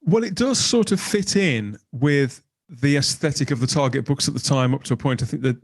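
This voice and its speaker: A man's voice: mid-range voice, animated, moderate pace. A middle-aged man chatting casually as a podcast guest, enthusiastic and rambling as he reminisces. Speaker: podcast guest, graphic designer. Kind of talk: casual chat.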